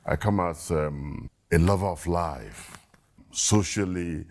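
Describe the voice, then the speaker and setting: A man's voice: low-pitched, slow, darkish tone. A man talking in a casual interview, earnest, often repeating words as he thinks. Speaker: guest.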